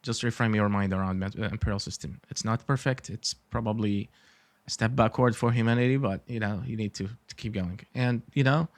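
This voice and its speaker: A man's voice: fairly low pitch, steady tone, brisk, no room sound. A man from Iraq, an architect in his forties, chatting as a podcast guest, with many fillers and dry humor. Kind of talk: casual interview talk.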